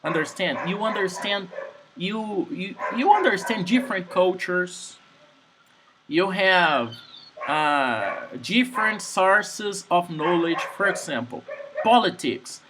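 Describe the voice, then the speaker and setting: A man's voice: high voice, lively intonation, slow. Man, noisy background. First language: Portuguese. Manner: keeps checking "Understand?", frequent restarts. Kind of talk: casual advice-giving chat.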